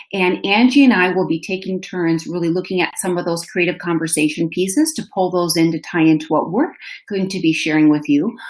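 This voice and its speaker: A woman with a Minnesota accent hosting an online education webinar, upbeat and effusive, speaking over a video call. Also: on the low side. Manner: upbeat, effusive, addresses audience directly. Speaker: webinar host. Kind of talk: enthusiastic webinar presenting. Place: indoor room, webcam.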